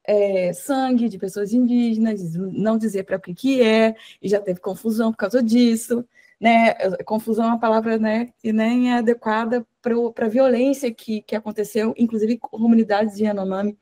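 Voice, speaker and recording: A woman guest speaker adding an earnest, quick explanation in a casual way. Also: fairly high pitch, steady tone, mellow.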